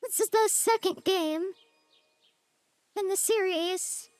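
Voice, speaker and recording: Very high, slow, echoing gamer woman. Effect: voice changer. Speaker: video game streamer. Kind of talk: casual gameplay commentary. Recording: roomy sound, faint game music behind. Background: faint game music.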